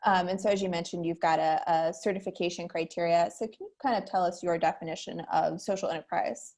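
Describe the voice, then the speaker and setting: A woman's voice: on the low side, steady tone, quick pace, a little mellow. A woman, hosting an event interview in a conversational style. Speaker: interviewer, editor.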